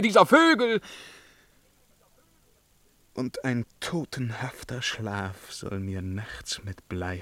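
An actor playing a tormented, obsessed hunter in a German radio verse play, theatrical and declamatory, moving between outcry and whisper. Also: male voice, medium pitch, vocal fry, sharp tone, no echo, full character voice. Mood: despairing, hushed. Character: tormented, obsessed male hunter, feverish and driven.

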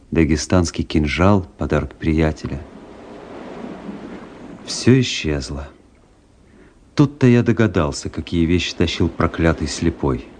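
An actor playing a wry, melancholy army officer who narrates his story in a measured, reflective radio-play style. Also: low-pitched, a little mellow, slight background noise. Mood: rueful, wry. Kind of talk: dramatic literary radio narration.

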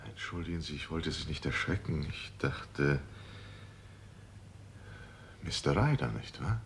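An actor playing a courteous, formal gentleman visitor in a 1960s German crime radio play, with a gravelly voice. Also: extremely low pitch, lively intonation, measured pace, slight background noise, exaggerated voice. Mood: polite, controlled. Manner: polite, smooth-spoken, formal. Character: courteous visitor, the dead householder's brother.

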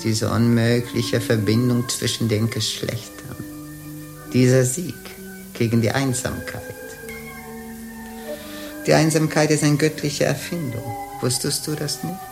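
Gravelly, slow-talking devilish old bawd. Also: female voice, a bass voice, steady tone, music in the background, full character voice. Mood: solemn, triumphant. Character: devilish hundred-year-old bawd and witch, sly, teasing. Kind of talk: radio play acting.

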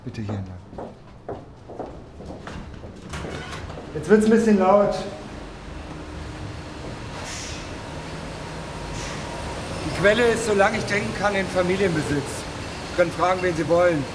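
A man's voice: sits high, animated, fairly quick. An actor playing a down-to-earth, somewhat hesitant male owner of a family mineral spring in a radio drama. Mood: welcoming. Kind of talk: naturalistic radio drama acting. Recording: loud-ish plant machinery noise behind.

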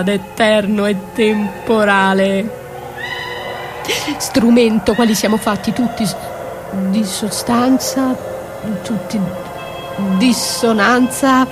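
An actress playing a battered, dreamy and desperate wife in a poetic theatrical radio monologue, halting and repeating her words. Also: a little high. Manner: halting, repeating words, swinging from murmur to cries. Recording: music under the voice. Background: music.

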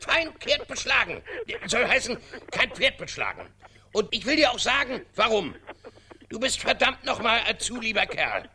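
A man's voice: very high-pitched, sing-song, moderate pace, pushed and bright, slight background noise, exaggerated voice. An actor playing a flustered, blustering king and father in a comic radio play, garbling his words and correcting himself.

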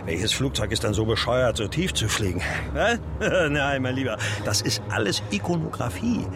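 A man's voice: medium pitch, big pitch swings, moderate pace, music in the background, lightly acted. A man in a radio drama playing a pompous, fiery conspiracy crusader who lectures in grand, formal phrases. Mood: ominous, lecturing. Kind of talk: German radio drama acting.

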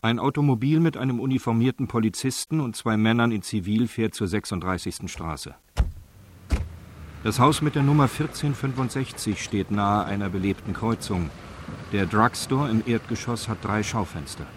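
An actor narrating a radio crime drama in a sober, factual, detached documentary style. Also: male voice, fairly low pitch, moderate pace, heavy background noise, natural voice.

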